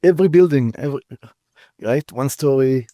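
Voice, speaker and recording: A man hosting an interview podcast, effusive and flattering, cutting in with quick remarks. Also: mid-range voice, no room sound, muffled recording. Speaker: podcast host. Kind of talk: interview conversation.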